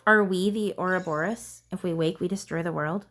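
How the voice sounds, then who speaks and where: A woman's voice: on the low side, brisk, a bit bright, faint music behind. A woman giving casual gameplay commentary, thinking aloud to herself in a curious, musing way. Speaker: gamer narrating own playthrough.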